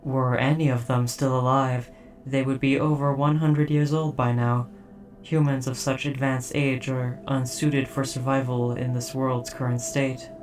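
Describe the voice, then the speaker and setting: A performer voicing an old, stoic, protective military robot in a formal, measured, literal, deadpan way for a scripted audio roleplay. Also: mid-range voice, recorded from a distance, lightly acted. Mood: stoic, matter-of-fact.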